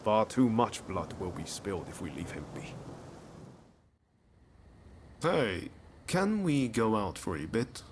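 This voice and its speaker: An actor playing an upbeat, idealistic young ronin in a video game cutscene, casual, earnest and encouraging. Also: male voice, lowish voice, very animated, slow pace, long pauses, slight background noise. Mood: earnest, concerned. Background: game music.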